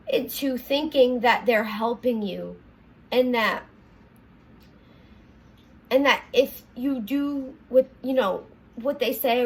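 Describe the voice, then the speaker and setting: A young woman with a raspy voice, talking earnestly in a rambling confessional vlog from her apartment, full of fillers and restarts. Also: on the high side, rough recording. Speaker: vlogger.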